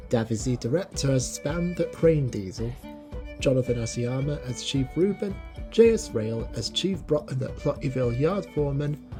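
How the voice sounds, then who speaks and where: Animated credits announcer over guitar music. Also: mid-range voice. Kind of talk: scripted end credits announcement.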